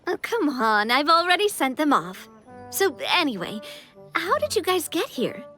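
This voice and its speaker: An actress playing a confident, playful young woman leader in a video game, with a breezy, expressive manner. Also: sits high, normal speed, soft background music, full character voice. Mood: amused. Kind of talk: English video game voice acting.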